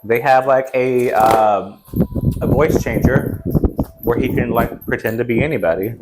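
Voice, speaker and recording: A man's voice: mid-range voice, a little slow, heavy background noise. A man in his forties chatting casually as a movie review co-host, rambling and chatty, recorded a few feet from a camera in a small room. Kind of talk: casual film chat. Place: small indoor game room.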